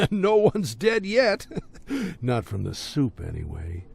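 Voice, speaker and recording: A talkative, menacing small-town crime boss in a video game. His voice sits fairly high and swings widely in pitch. He rambles theatrically, with jovial, folksy sarcasm. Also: wildly swooping pitch, slow pace, vocal fry, a little mellow, slightly rough, exaggerated voice. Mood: jovial, coaxing. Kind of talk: video game cutscene acting.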